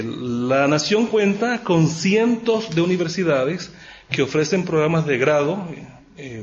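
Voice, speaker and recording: Higher-voiced Puerto Rican man giving a talk. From Puerto Rico. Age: middle-aged or older. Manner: good-humoured, self-aware asides. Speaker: university professor, guest speaker. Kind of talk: informal public lecture to an audience. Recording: dull, muffled recording with clear background noise.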